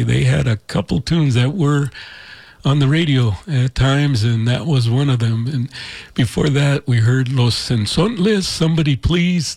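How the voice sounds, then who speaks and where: Raspy-voiced easygoing radio DJ man. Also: mid-range voice, darkish tone, dry recording. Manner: easygoing, rambling, chuckles often. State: raspy voice from allergies. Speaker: radio DJ host. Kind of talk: community radio music show. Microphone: studio mic.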